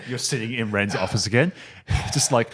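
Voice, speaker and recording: A man improvising comedic narration as game master, in a halting but animated way, acting out little sound effects. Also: mid-range voice.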